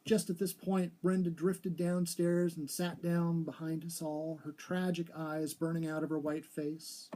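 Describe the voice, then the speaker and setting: A man giving a live, expressive reading of an old literary ghost story, with a husky voice. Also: a little high, a little slow, few pauses, low-quality recording. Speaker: story reader.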